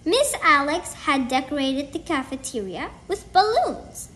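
A woman's voice: sits high, big pitch swings, a little slow, fairly bright tone. A woman reading a children's storybook aloud in a careful, word-by-word way. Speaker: storybook reader. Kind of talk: children's book read-aloud.

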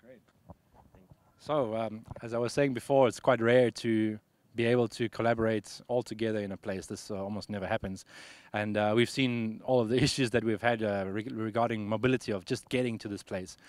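A man's voice: on the low side, steady tone, fairly quick, dry recording. A man in his thirties from South Africa, hosting a casual outdoor interview on a handheld mic, chatty and hesitant with frequent uhs. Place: sunny outdoor campus.

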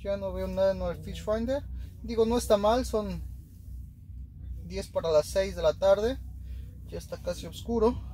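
A man's voice: sits high, unhurried, long pauses. A young Mexican man with a Mexican accent, chatting casually to his viewers while ice fishing on a frozen lake. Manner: chatty, addresses viewers directly, easily excited. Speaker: fishing vlogger. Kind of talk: casual outdoor vlog talk to viewers. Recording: noisy outdoor camera audio.

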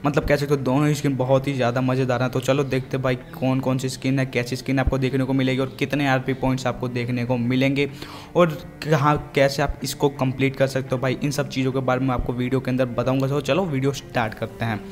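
A man's voice: medium pitch, level tone, quick pace. An Indian man hosting a gaming channel, giving casual video commentary. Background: background music.

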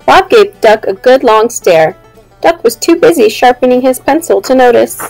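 A young woman reading a children's storybook aloud in an expressive way and addressing her young listeners. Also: high voice, normal speed, gravelly, dry recording, soft background music, low-quality recording. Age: young adult. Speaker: teacher reading a picture book aloud.

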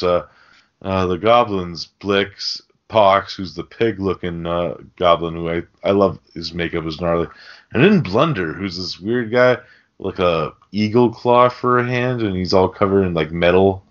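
A man's voice: deep voice, moderate pace. A man hosting a casual podcast, recapping a film in a rambling, profane, joking way. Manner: rambling, crude joking delivery with mimicked lines. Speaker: podcast host. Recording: dull lo-fi podcast audio.

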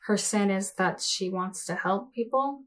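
A woman's voice: medium pitch, a little slow. Sarcastic, blunt woman. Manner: sarcastic, blunt, sweary, mocking. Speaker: host of a reaction commentary show. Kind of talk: casual, sarcastic reaction commentary. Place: small home office or craft room.

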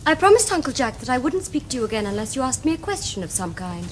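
A woman's voice: high-pitched, big pitch swings, fast talker, a little hiss or hum. An actress playing a prim, romantic young Victorian lady, speaking precisely and demurely with an upper-class English accent. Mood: prim, reproachful. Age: young adult. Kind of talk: period stage comedy acting for television.

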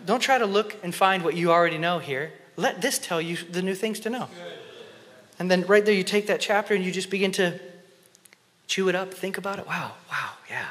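Man in his thirties preaching from a church stage with a headset mic, bright voice, passionate, drops to whispers, hall reverb. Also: high-pitched, fairly quick. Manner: impassioned, shifts between loud emphasis and hushed whispered prayer. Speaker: conference speaker. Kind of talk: sermon. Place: church stage before an audience.

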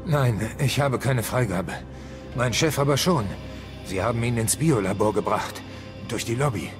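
An actor playing a shaken, helpful security guard, earnest and a little hesitant. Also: male voice, mid-range voice, normal speed, natural voice. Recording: music behind the voice.

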